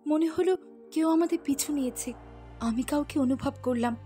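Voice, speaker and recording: A woman's voice: high voice, moderate pace, mellow, faint music behind, close to an everyday voice. A woman playing an anxious but determined young winged fairy princess in a cartoon. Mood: weary, uneasy. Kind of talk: dramatic animated fairy tale acting.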